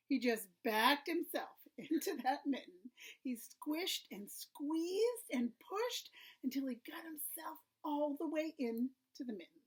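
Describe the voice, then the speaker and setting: A woman reading a children's story aloud, expressive and often dropping to a hushed, breathy tone. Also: sits high, rough recording.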